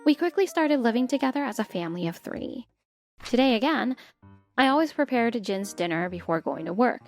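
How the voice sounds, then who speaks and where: A polite, put-upon stepmother played by a woman narrates her troubles in a manga dub. Her voice sits fairly high and expressive, with soft music underneath. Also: brisk. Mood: narrating, troubled. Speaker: narrator and lead character. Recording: music bed behind the voice.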